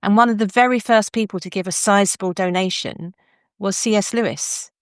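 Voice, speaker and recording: A woman's voice: mid-range voice, fairly quick, smooth, no echo, clean studio sound. A woman hosting a podcast, introducing and chatting with her guest. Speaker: podcast host and interviewer. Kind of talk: podcast introduction and interview chat.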